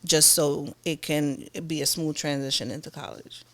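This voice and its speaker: A woman's voice: deep voice, fairly even intonation, normal speed. A woman from Jamaica in her thirties, a school teacher, chatting candidly as a guest in a barbershop and quoting people in little asides. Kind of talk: casual interview chat.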